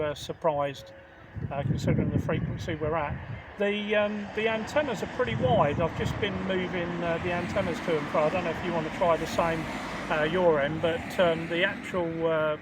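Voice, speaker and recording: British man outdoors in loud noise, higher-pitched. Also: steady tone, normal speed. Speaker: amateur radio operator. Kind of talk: casual two-way radio contact. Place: outdoors at a portable radio station. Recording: very noisy outdoor recording.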